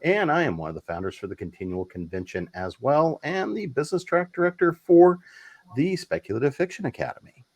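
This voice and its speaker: A man's voice: fairly high pitch, sing-song, brisk, darkish tone. A man speaking as an author panelist in a loose, conversational way. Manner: loose, conversational, with fillers and restarts. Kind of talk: casual panel discussion.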